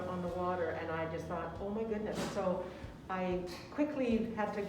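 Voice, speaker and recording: A woman's voice: medium pitch, noisy background. A woman speaking as president of a photography club in an interview, friendly and plain-spoken. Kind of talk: casual interview. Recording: echoey room, far from mic, with music.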